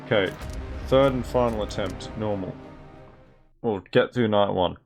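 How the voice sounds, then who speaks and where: A young man with a gravelly voice, commenting on a game as he plays and mutters through on-screen text. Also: lowish voice, measured pace, a little mellow, faint music behind. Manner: mutters aloud while reading on-screen text. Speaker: gaming video creator. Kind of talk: game commentary while playing. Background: keyboard typing and clicks.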